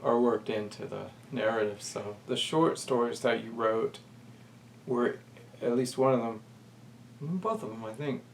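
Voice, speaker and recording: A man's voice: medium pitch, measured pace, creaky. A man talking casually as a co-host, feeling out his words and correcting himself as he goes. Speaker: podcast co-host. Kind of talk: casual conversation. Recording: distant mic, faint background noise.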